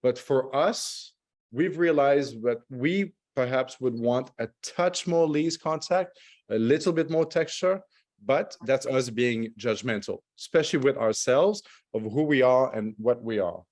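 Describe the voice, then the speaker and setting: A man's voice: medium pitch, measured pace, darkish tone. A middle-aged man from Montreal, a native French speaker whose accent has Australian and South African traces, leading a wine tasting over a video call with loose, chatty, self-correcting explanations. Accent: French Canadian with Australian and South African traces. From Montreal, Canada. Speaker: wine brand ambassador.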